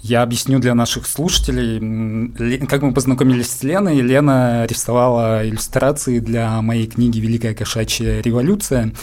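A man's voice: medium pitch, steady tone, normal speed, few pauses, a little mellow. A man hosting a casual, conversational interview, asking questions and sharing his own examples. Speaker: interviewer, writer.

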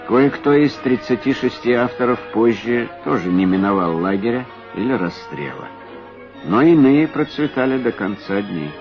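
A man's voice: mid-range voice, lively intonation, normal speed. A man delivering documentary commentary on an archive recording. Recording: dull lo-fi archive track, loud music. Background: music.

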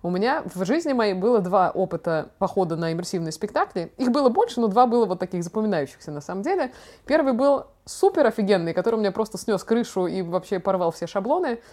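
A young woman talks very quickly in a mid-range voice. She hosts a casual conversation, telling stories in an expressive way with plenty of slang. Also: medium pitch. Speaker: podcast host. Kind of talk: casual chat, personal storytelling.

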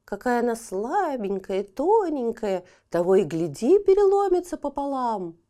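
A woman's voice: on the high side, sing-song, measured pace, mellow, pitched above the performer's own voice. A woman voicing snooty little girl beetles. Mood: mocking. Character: small giggly girl beetles, snooty and gossiping.

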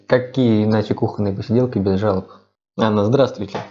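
Low, mellow male host, lo-fi sound. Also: fairly even intonation, brisk, a little hiss or hum. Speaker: podcast host. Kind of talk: casual conversational interviewing. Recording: dull lo-fi sound.

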